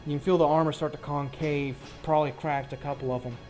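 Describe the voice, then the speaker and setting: A man with a slightly high voice runs a tabletop fantasy game over background music. He improvises his narration in loose, conversational phrasing, painting each action as game master. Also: steady tone, fast talker, darkish tone.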